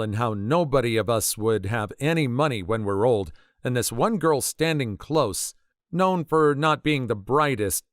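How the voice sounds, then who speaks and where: Guy reading online stories, really lively up and down pitch, dry studio sound. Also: no echo. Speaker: narrator of online story compilations. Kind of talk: scripted narration of read-out stories.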